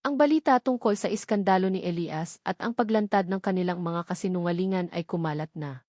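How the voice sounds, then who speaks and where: A woman's voice: fairly low pitch, a little fast, darkish tone, no room sound. Woman narrating a dramatic story, dry sound.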